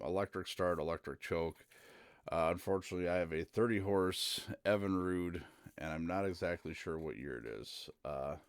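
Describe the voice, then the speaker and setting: Male streamer, low smooth voice, easygoing and rambling. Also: dry recording, studio quality. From central Minnesota. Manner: rambling and easygoing, with frequent "uh" fillers. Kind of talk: casual live-stream chat.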